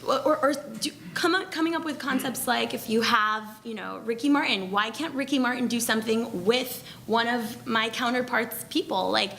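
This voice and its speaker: Creaky-voiced chatty woman on a panel. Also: fairly high pitch, moderate pace, few pauses, fairly bright tone, slight background noise. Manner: chatty, many fillers and restarts. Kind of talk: panel discussion.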